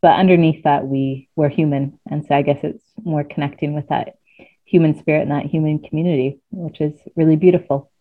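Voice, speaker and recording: A woman with a low, deep voice and an accent that blends American and British sounds. She speaks thoughtfully, often pausing to search for words. Her voice comes through a thin, phone-like remote call line. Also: steady tone. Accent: mixed American and British. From United States. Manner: thoughtful, hesitant with frequent ums. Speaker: guest, coach. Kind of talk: casual interview chat. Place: at home near Cardiff.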